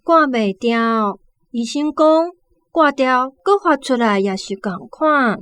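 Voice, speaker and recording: A woman's voice: fairly high pitch, lively intonation, takes it slowly, a bit bright, clear, resonant tone. A woman playing a young woman in a radio drama, explaining things in a matter-of-fact way. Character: young woman calmly explaining her old childhood injury.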